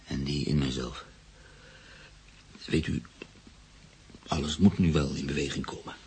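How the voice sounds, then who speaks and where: Very deep, creaky brooding man. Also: steady tone, measured pace, a little mellow, heavily acted. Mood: reflective, troubled. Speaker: actor and narrator in a radio play. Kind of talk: Dutch radio drama acting, intimate narration. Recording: noisy radio play recording.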